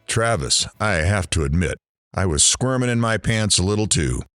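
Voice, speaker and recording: A man acts out a sardonic middle-aged radio shock jock for an audio drama. His voice is low and swings widely as he delivers showy, sarcastic DJ patter. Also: animated, normal speed, fairly bright tone, soft background music. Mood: mocking, irreverent. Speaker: radio talk show host.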